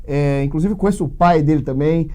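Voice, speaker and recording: A man's voice: a little high, fairly quick, dark tone. A Brazilian man hosting a casual live interview, talking in an informal, effusive way. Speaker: live show host.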